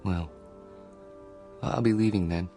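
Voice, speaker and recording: Awkward, timid male loner, radio play; halting, courteous, slightly low voice, faint music behind. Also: fairly even intonation, warm tone. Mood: timid, polite. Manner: hesitant, stammering, polite. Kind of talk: radio drama acting.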